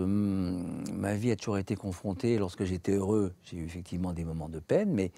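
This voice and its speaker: A man in his forties with a French accent, a shoe salesman giving an earnest, reflective personal testimony, speaking in measured phrases with pauses. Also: low-pitched, warm tone, no echo. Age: 40s.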